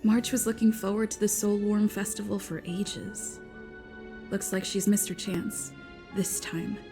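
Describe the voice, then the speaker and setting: An actress playing a poised, gently teasing starship navigator in a video game, composed and unhurried. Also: female voice, medium pitch, fairly even intonation, music in the background, close to an everyday voice. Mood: weary, gentle. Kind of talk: scripted video game dialogue.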